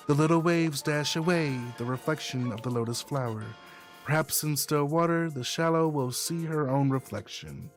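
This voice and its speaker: A man voicing a mysterious, dignified woman who speaks slowly and gently in a visual novel. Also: mid-range voice, lightly acted. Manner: slow, measured, poetic.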